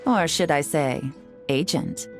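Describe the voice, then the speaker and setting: Poised, worldly female agent, game role: refined, composed, slightly low register, teasing edge. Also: slow pace, soft background music. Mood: warm, teasing. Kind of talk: video game character acting.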